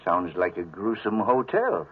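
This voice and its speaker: A man's voice: low-pitched, sing-song, unhurried, breathy edge, heavily acted. A man playing a boozy, pompous ex-doctor sidekick in a 1940s American radio drama, lecturing in florid speeches. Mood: dry. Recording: dull old radio recording.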